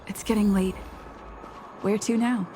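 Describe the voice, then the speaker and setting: A woman's voice: mid-range voice, fairly even intonation, measured pace, mellow, slight background noise, close to an everyday voice. A woman voicing a composed young female adventurer thinking aloud in a video game. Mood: pensive.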